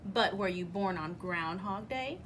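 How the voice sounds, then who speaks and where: A woman's voice: medium pitch, unhurried, a bit bright, slight background noise, close to an everyday voice. Woman as a grumbling old uncle groundhog. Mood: grumbling, dismissive. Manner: grumbling, dismissive.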